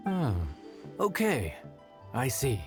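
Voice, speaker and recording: A humble cook from a game, with lively, swinging intonation. He is modest, friendly and hesitant, heard over clear music. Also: medium pitch, slow pace. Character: humble innkeeping cook, a nervous, friendly common man. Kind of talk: video game character dialogue. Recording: music behind the voice.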